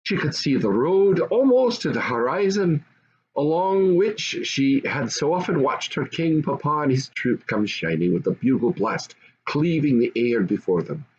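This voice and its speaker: Muffled home recording, older grandpa type guy reading a fairy tale, husky voice, really expressive storytelling. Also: medium pitch, a little slow. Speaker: storybook reader.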